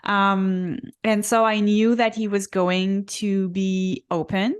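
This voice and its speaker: A woman in her forties co-hosts a casual spiritual podcast. She speaks at an unhurried, deliberate pace, with frequent pauses and ums as she recalls her story. Her pitch sits in an ordinary middle range. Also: little pitch movement, clear, resonant tone. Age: 40s. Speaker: podcast co-host, Akashic reader.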